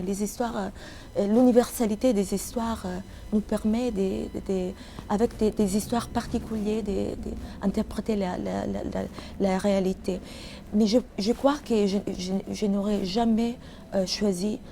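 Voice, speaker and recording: Mellow-voiced middle-aged woman. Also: medium pitch, a little hiss or hum. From Somalia. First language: Italian. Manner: halting, searching for words in a second language. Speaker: novelist being interviewed. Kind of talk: reflective interview answers.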